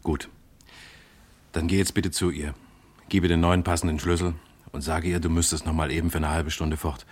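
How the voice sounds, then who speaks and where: An actor playing a shrewd police inspector in an old German radio crime play, formal and measured with controlled courtesy. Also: male voice, deep voice, little pitch movement. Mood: businesslike. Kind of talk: mid-century German radio crime drama acting.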